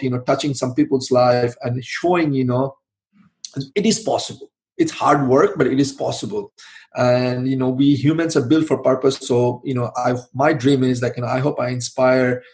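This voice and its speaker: A man's voice: mid-range voice, a little mellow. A middle-aged man from Bangladesh answering interview questions in a loose, rambling way, full of "you know" and restarts. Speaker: podcast guest, IT manager and founder.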